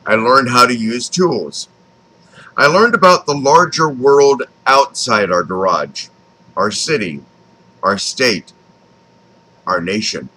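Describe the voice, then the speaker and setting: A man in his fifties from Texas with an American accent reads his own autobiography aloud, phrasing it deliberately with frequent pauses. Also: medium pitch, animated, pushed and bright, low-quality recording. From Texas, United States. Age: 50s. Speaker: author reading his own memoir. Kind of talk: scripted autobiographical narration, read aloud.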